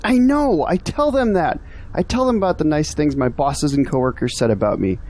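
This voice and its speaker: A man's voice: fairly high pitch, animated, moderate pace, a little mellow, lightly acted. A man playing an earnest, discouraged young graduate in an audio drama, complaining about his troubles. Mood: frustrated. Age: young adult.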